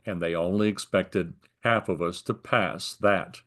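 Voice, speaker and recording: An older man with an American accent speaks in a fairly low voice. He recounts things conversationally, as an interviewee would, and explains them in detail. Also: steady tone, unhurried, a bit bright. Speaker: retired air traffic controller being interviewed.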